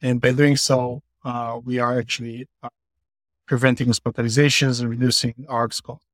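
A man's voice: medium pitch, little pitch movement, normal speed, fairly bright tone, no echo. Businessman from Israel explaining on a call. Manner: earnest explaining with many fillers. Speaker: company CEO guest. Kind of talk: podcast interview. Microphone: remote call.

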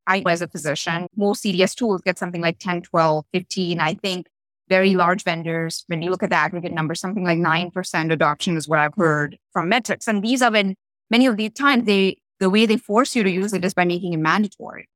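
A woman physician talking in an interview, quick and earnest, with many fillers. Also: on the low side, a bit bright, dry recording, poor audio. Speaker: physician founder guest. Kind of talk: interview talk.

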